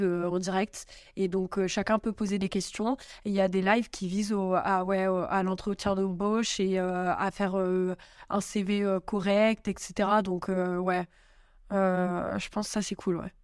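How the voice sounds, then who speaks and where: Young woman guest, smooth voice, halting chatty answers, dry close sound. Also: medium pitch, no echo. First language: French. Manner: hesitant, many fillers and restarts. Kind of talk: casual interview answers. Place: home in Italy.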